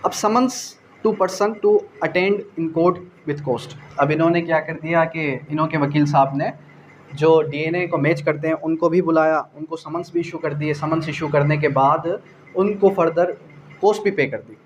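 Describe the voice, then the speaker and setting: A man's voice: fairly high pitch, a little slow. A man with a Pakistani accent giving an explanatory law lecture in a didactic way, repeating phrases, asking rhetorical questions and mixing in English legal terms. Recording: dull lo-fi audio, faint background noise.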